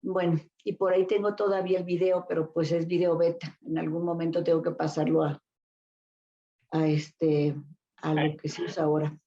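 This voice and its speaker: An older Mexican woman recalls her career as an interview guest, with a lowish, dark, mellow voice. She rambles and restarts often. The sound is dull and lo-fi. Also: flat delivery, normal speed. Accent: Mexican Spanish. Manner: rambling recollection with many fillers and restarts. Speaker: guest therapist. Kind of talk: casual interview storytelling.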